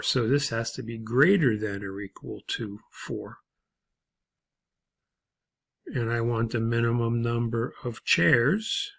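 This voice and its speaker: A man narrating an unscripted, step-by-step spreadsheet tutorial as an instructor, in a halting way. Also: mid-range voice, creaky, breathy edge.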